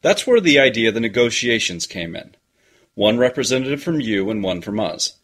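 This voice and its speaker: A man voicing an immortal being who addresses humankind in deliberate, emphatic phrases, narrating an audiobook fantasy tale. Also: medium pitch, sing-song, fairly bright tone. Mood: cool, assured. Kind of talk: first-person fantasy story narration.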